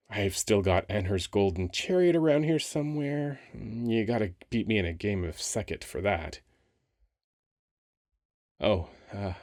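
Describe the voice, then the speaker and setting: A man playing a flirty, wry, weary sphinx who guards a temple, talking casually and haltingly in an ASMR roleplay. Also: lowish voice, a little mellow, dry recording, studio quality.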